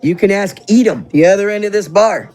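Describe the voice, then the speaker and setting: Man voicing a high-pitched, folksy tavern barmaid. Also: a little fast, darkish tone. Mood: chatty, wry. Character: stout, rosy-cheeked female bartender, chatty and welcoming. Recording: music behind the voice.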